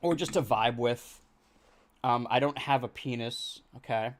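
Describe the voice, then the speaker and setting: A young American man with a monotone voice, chatting loosely while streaming a video game, rambling with many restarts. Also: medium pitch, a little slow. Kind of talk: casual gameplay chat.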